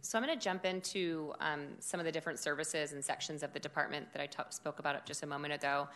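A woman who directs a city department, giving a formal budget presentation at a public meeting in a loud, steady, measured voice. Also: low-pitched. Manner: measured, informative delivery with occasional fillers.

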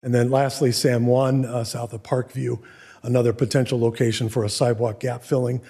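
Guy giving a staff presentation at a meeting, monotone, kinda husky and hoarse, stop and start. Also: medium pitch, brisk. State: losing his voice, hoarse.

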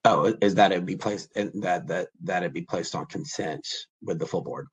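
Committee member, husky hoarse male voice, low-pitched, halting and hesitant. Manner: halting, many fillers and restarts. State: hoarse, sick with the flu. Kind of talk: public meeting discussion.

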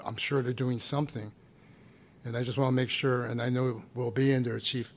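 Male chairperson, gravelly and flat, brisk. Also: medium pitch, a little hiss or hum. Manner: brisk, procedural. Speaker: commission president chairing. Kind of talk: chairing a public meeting.

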